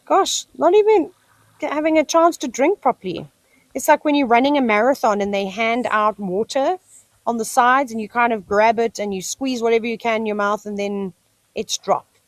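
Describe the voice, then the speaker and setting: A woman's voice: a little high, moderate pace, no echo. Chatty South African woman narrating wildlife. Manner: chatty, enthusiastic, talks to the animals. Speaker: wildlife livestream host. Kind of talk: live nature commentary. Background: cicadas screeching.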